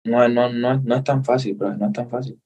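A man with a Cuban accent talks as an interview guest. His voice sits at an ordinary middle pitch. He speaks earnestly, repeating phrases and dropping in friendly asides. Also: steady tone, fast, a little mellow, husky, muffled recording. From Cuba. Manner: earnest, repeats himself, says brother. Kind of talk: casual interview.

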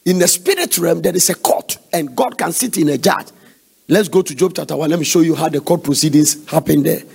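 A Ghanaian man preaches in a very gravelly, husky voice pitched fairly high. He is emphatic, repeating phrases for weight and slipping into conversational asides and questions to his listeners. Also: a little fast, darkish tone. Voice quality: raspy, gravelly. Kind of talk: sermon with questions to the congregation.

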